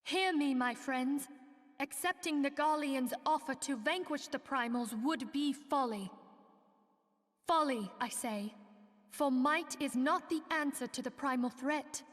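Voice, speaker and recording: High-voiced young female leader, echoing hall. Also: measured pace. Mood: grave, urgent. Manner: formal, oratorical, archaic diction. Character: earnest young female leader of an order rallying allies. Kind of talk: dramatic fantasy game cutscene acting. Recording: long echoing hall reverb.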